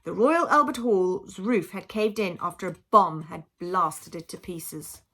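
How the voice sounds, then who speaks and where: A woman reading a children's book aloud in an expressive, dramatic, emphatic style, like a teacher telling a story to a class. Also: on the low side, measured pace. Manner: dramatic, emphatic, acts out sound effects. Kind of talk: expressive children's audiobook narration.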